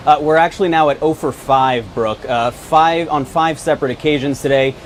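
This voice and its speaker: A man's voice: a little high, normal speed, slight background noise. A man with an American accent delivering a live news report as a television correspondent.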